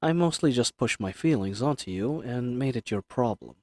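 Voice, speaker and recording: A man playing a formal, reserved assassin who is earnestly flirtatious, in a romantic audio roleplay with measured, polite speech. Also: medium pitch, animated, darkish tone, no echo, close to an everyday voice. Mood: flattered, sincere. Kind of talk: scripted romantic audio roleplay addressed to the listener.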